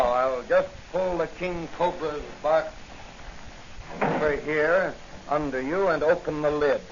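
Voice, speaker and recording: An actor playing a bold, stern masked police hero in a 1940s radio drama, commanding and righteous, on a muffled, noisy old recording. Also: male voice, on the high side, lightly acted.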